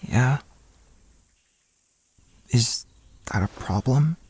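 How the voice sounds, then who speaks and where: An actor playing a shy, guarded young male writer in an audio drama, speaking in a hesitant, halting way. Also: mid-range voice, animated, a little mellow, slightly rough, exaggerated voice. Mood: guarded, nervous. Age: young adult.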